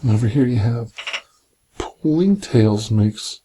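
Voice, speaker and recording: A man reading a picture book aloud in a soft, gentle, partly whispered ASMR bedtime style with casual asides, close to the microphone. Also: medium pitch, expressive, slow. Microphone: close binaural microphone. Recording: clear background noise, binaural ASMR microphone.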